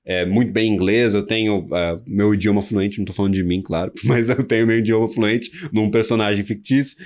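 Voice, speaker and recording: Low-voiced Brazilian host. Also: male voice, steady tone, fairly quick, a little mellow. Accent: Brazilian Portuguese. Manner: long rambling questions with restarts. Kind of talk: hosting a debate show.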